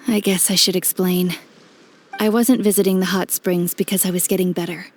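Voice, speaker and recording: An actress playing an ailing veteran warrior in a video game, serene and accepting of death, with a gentle, reflective, sighing delivery. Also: female voice, lowish voice, steady tone, normal speed, close to an everyday voice. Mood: somber, confessing. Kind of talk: dramatic video game voice acting. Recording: music behind the voice.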